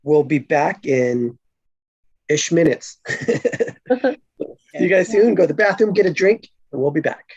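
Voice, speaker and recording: A woman with a husky, gravelly voice hosting an online table read in casual live-stream chat. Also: sits low, animated, unhurried, long pauses, darkish tone, no echo, lo-fi sound.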